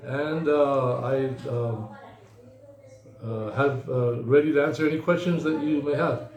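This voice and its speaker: A man in his fifties from the United States, an attorney answering interview questions slowly with many pauses and fillers. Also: mid-range voice, darkish tone, roomy sound. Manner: slow, hesitant, many fillers.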